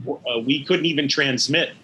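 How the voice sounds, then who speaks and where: A man's voice: a little high, normal speed, bright, forceful tone, a little hiss or hum, poor audio. A man being interviewed as an animator, explaining his past work in careful detail as he recollects it.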